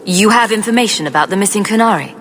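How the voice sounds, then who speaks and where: An actress playing a direct, composed, wry adventurer heroine in a video game. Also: female voice, mid-range voice, expressive, fast, fairly bright tone, long reverb tail, soft background music, natural voice. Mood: probing.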